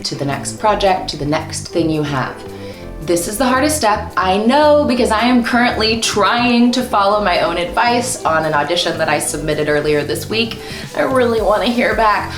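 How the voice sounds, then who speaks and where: An animated woman in her thirties, talking straight to camera and gesturing as she explains things. Her pitch leaps around, and her voice rings in a roomy echo with music underneath. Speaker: voice actor and host. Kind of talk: upbeat instructional vlog. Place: small room at home.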